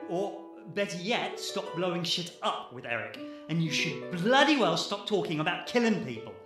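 Guy playing a fed up sardonic scientist in a radio play, swears a lot, lively delivery, bit of music behind. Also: fairly high pitch. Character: sarcastic, exasperated trapped male geology researcher.

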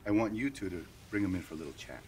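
A man's voice: deep voice, animated, rapid-fire, darkish tone, a little hiss or hum, low-quality recording. A male actor playing a middle-aged police captain in a video game, curt and authoritative. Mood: brisk, stern. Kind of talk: video game cutscene acting.

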